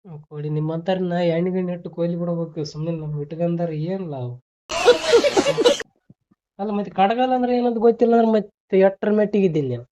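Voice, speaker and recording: A man's voice: high-pitched, fairly quick, dark tone. A man with a North Karnataka accent on a phone call, needling and scolding as he repeats his questions in casual banter. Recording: noisy, band-limited phone call recording.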